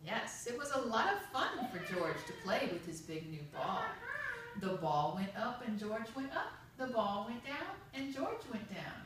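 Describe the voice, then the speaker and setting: A woman's voice: fairly high pitch, very animated, measured pace. A woman telling a children's story expressively, drawing out words and prompting young listeners with questions, recorded in an echoey, noisy room from a distance. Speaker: storyteller at a children's puppet show.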